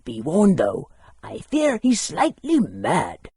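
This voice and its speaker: An actor playing a courteous, bookish town historian in a fantasy game, speaking politely and in a measured, scholarly way. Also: male voice, fairly high pitch, wildly swooping pitch, darkish tone, husky, no room sound, studio quality, exaggerated voice. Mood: helpful, cautionary.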